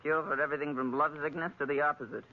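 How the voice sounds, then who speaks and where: A woman's voice: sits low, steady tone, very fast, close to an everyday voice. An actress playing a scheming middle-aged female bar owner, bossy and coaxing, in 1940s radio drama style. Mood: businesslike pitching. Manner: bossy, coaxing hard bargainer. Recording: muffled 1940s radio recording.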